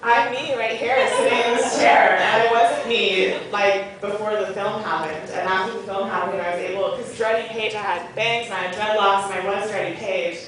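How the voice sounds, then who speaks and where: A woman's voice: mid-range voice, normal speed, hardly any pauses, distant mic, a crowd in the background. A woman, a film actress, talking candidly and earnestly in a rambling way at a movie theatre Q&A. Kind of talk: candid personal panel talk. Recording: echoey theatre, off-mic, noisy.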